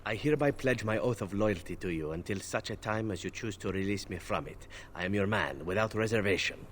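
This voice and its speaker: Sly game assassin voiced by a man, low and lilting, courtly and dry-witted. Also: animated, slight background noise, natural voice. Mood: solemn. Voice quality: wry, measured, courtly phrasing. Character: smooth male elf assassin, wry and sly. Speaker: game character.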